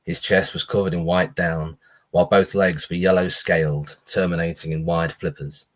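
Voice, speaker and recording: A man's voice: low voice, flat delivery, normal speed. A man who is an author, giving a live reading of his own pulpy horror story.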